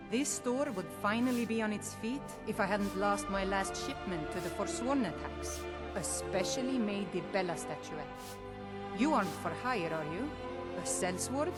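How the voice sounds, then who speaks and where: This is a struggling widowed shopkeeper from a video game , voiced by a woman. She speaks plainly and frets over her troubles in an ordinary mid-range voice. Game music plays clearly behind her. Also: mid-range voice, expressive, moderate pace, natural voice. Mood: weary. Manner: fretful, plain-spoken.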